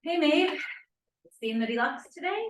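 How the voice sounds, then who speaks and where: Very high-pitched, dramatic young college woman acted by a woman. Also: expressive, a little slow, a little hiss or hum. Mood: alarmed, dramatic. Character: dramatic, suspicious, brash young women college students. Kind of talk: informal script table read. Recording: echoey room, distant mic.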